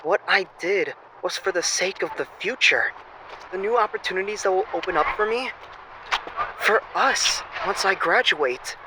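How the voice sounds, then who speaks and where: A young man playing a flippant, self-absorbed exchange student, evasive and excitable, in an audio drama phone call. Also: high voice, moderate pace, natural voice. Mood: earnest, persuasive. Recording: noisy phone-call style recording.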